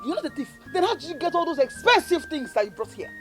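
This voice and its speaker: A woman's voice: high voice, very animated, fast talker, over music. An actress playing a scornful older sister who bullies and accuses her sibling. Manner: taunting, shouting insults.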